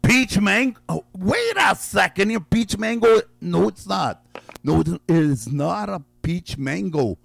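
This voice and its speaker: Man, radio call-in game host, losing his voice, slow drawn-out talk, very gravelly, lively pitch. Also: no echo. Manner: drawn-out, repeats each guess slowly, chuckles. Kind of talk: call-in guessing game on air.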